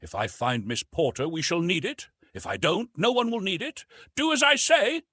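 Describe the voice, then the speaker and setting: A man's voice: high voice, lively intonation, moderate pace, sharp tone, husky, dry recording, pitched above the performer's own voice, full character voice. A man voicing a bold, commanding wild man of the jungle in an audiobook adventure story. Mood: urgent, commanding. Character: bold, commanding male stranger, fierce primeval jungle man.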